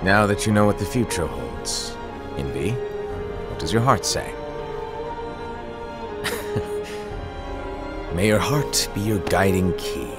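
A man's voice: sits low, expressive, slow, pauses often, loud music behind the voice. A man acting an eccentric, playful master mentor in a game cutscene, flippant, teasing and theatrical. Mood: wry, earnest.

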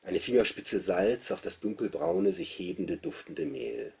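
A man in his fifties giving an expressive literary reading of a novel as its author. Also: low-pitched, unhurried, gravelly, breathy edge. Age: 50s. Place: small book-lined study, indoors.

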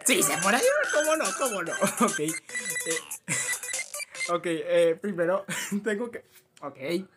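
A young man with a Latin American Spanish accent chats to the camera in a fairly high, youthful voice. He is animated and rambles in a self-deprecating way, like a casual YouTuber recording at home. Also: takes it slowly, pauses often, music in the background. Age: teens to early twenties. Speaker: YouTuber reacting to a fan dub. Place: small cluttered room at home.

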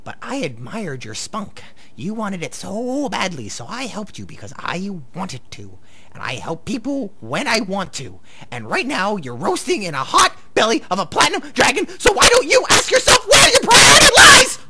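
A woman's voice: mid-range voice, wildly swooping pitch, normal speed, sharp tone, husky, exaggerated voice. A woman acting a bossy, touchy young game master in a live radio play, commanding and defensive. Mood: exasperated, heated. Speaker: actor.

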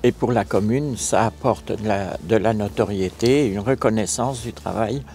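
An older man from France, a local mayor, recounting a restoration project in a measured, formal way in an outdoor interview. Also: lowish voice, dark tone, a little hiss or hum. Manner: measured, formal, a few fillers. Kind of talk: interview answers, measured account. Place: outdoors on a stone chapel tower balcony.

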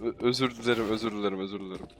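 A man's voice: fairly low pitch, steady tone, very fast, noisy background. A man in casual online game voice chat, talking in a cheeky, mock-deferential way. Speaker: online shooter player.

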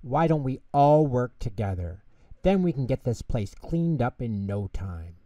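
Calm storybook bear performed by a male reader, reassuring, soft-edged mellow tone, leisurely gentle delivery. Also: medium pitch, dry recording, far from the mic, lo-fi sound. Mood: kindly, reassuring. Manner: gentle, unhurried, soothing.

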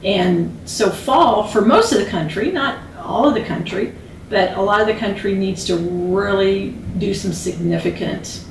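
A woman's voice: mid-range voice, unhurried, creaky, a little hiss or hum. A woman in her fifties, a beekeeping instructor, talking casually in a living room and gesturing as she speaks. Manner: chatty, animated, gestures with her hands. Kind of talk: casual conversation. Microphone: far camera. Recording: echoey room, distant mic.

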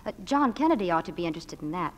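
A woman's voice: lowish voice, very animated, very fast. A young woman giving a scripted 1940s radio interview as a starlet guest, in a reverberant recording. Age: young adult. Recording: long hall reverb.

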